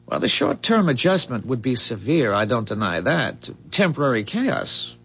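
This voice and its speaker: An actor playing an earnest, idealistic inventor in a 1970s radio drama, explaining things in a precise, formal way with some hesitant stammers. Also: male voice, mid-range voice, sing-song, moderate pace, creaky, a bit bright, slightly rough, exaggerated voice. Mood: grave, visionary. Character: earnest, idealistic male inventor, proud of his revolutionary engine. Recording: dull, muffled 1970s radio broadcast recording.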